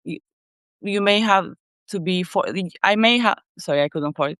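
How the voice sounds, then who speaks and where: Give me a woman with a Turkish accent, smooth and clear, speaking thoughtfully as a guest on a live call. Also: medium pitch, normal speed, dry recording, clean studio sound. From Turkey. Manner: soft, thoughtful, searching for words. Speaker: guest writer. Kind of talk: casual live interview.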